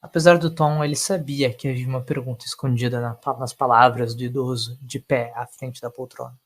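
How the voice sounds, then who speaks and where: A man's voice: medium pitch, fairly even intonation, a little fast. A man with a Brazilian accent, narrating an audio story in a dramatic storytelling style.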